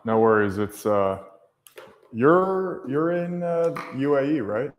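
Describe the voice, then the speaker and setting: A man talks casually as a co-host of a trading livestream, with a medium-pitched voice. The sound is noticeably roomy and echoey around him. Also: lively intonation, takes it slowly, dull audio, slight background noise. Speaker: livestream co-host, futures trader. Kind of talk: casual chat and trade commentary.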